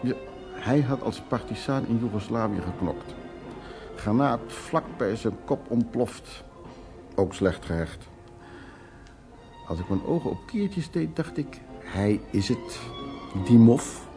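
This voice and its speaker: A man's voice: medium pitch, expressive, takes it slowly, long pauses, over music. An actor playing an evasive, hard-drinking man in a radio drama, telling his stories in a rambling, teasing way. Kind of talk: Dutch radio drama acting.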